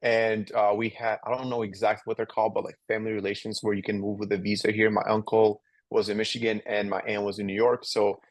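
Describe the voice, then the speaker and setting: A man's voice: on the low side, level tone, fast, few pauses, no echo. A young man from Albania, a podcast guest, answering casually with many fillers and restarts. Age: young adult. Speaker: podcast guest, incoming law student.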